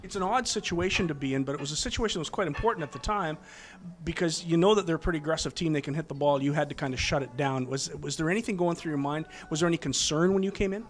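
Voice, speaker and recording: Brief: male team-TV interviewer, fast-talking, a touch high, upbeat. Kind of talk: sports interview questions.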